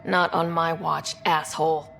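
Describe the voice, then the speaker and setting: A woman's voice: deep voice, level tone, measured pace, creaky, over music. A woman playing a plucky, sardonic young human explorer in a video game, casual, dry and confident in delivery. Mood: defiant. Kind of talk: naturalistic video game acting.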